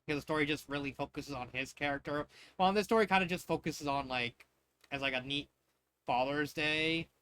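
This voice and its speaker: Halting man with a bright, higher voice. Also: a little hiss or hum. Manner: halting, repeats and restarts phrases. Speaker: fan fiction reader and reviewer. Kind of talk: casual read-aloud and rambling commentary.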